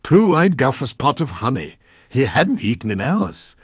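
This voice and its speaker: A male narrator reads a children's storybook aloud with great expression. His voice is husky and swings widely in pitch, recorded dry and close. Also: big pitch swings, normal speed, dry recording.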